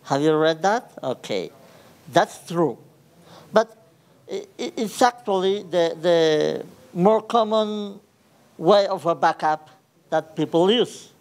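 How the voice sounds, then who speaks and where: A man in his thirties with an Ecuadorian Spanish accent presents at a technical conference, speaking unhurriedly in a smooth, clear voice that sits a little high. He pauses often with fillers and restarts as he explains his slides. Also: expressive. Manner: hesitant, frequent fillers and restarts. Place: large conference hall with audience.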